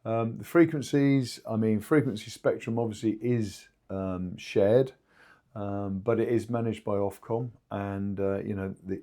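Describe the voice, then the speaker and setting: A man explaining things haltingly in an informal podcast discussion, as a company spokesman. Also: low-pitched, warm tone. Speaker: two-way radio company spokesman.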